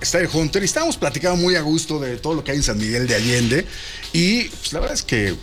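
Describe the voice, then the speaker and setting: A man hosts a radio talk show. His voice sits a little high and swoops widely as he chats eagerly. He often jumps in over his guest, with faint music underneath. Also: lively intonation, fast, a bit bright. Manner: chatty, enthusiastic, talks over guest. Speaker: radio host.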